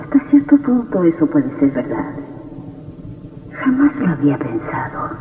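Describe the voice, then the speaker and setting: A woman's voice: sits low, big pitch swings, a little slow, slight background noise. Female Death, solemn, otherworldly, radio drama, long echoing reverb, tinny distant recording. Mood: solemn, curious. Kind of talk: old radio drama acting.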